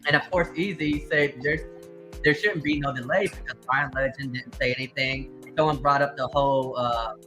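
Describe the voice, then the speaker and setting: A man hosting a casual livestream chat about crypto, explaining at length in a relaxed way. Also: medium pitch, soft background music. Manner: laid-back, drawn-out explanations. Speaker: livestream host.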